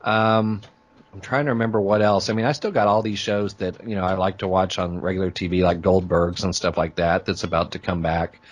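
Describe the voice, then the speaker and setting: A man's voice: sits low, fairly even intonation, a little fast. A man chatting casually as an interview guest, easygoing and agreeable, often thinking aloud as he goes. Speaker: podcaster being interviewed as a guest. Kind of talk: casual fan chat interview.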